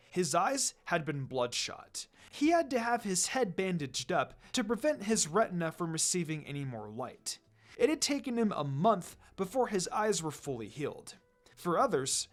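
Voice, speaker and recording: A man's voice: sits high, a bit bright. A man reading a story aloud as a dramatic narrator, with music behind him. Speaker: fan fiction reader. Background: background music.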